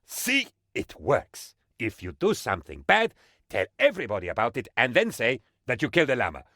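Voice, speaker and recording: An eager, bumbling dictator's aide from a comic video game, speaking. His pitch swoops about excitably as he reports to his leader with shameless, theatrical officialdom. The recording is dry and close. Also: moderate pace, dry recording, exaggerated voice. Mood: gleeful, smug.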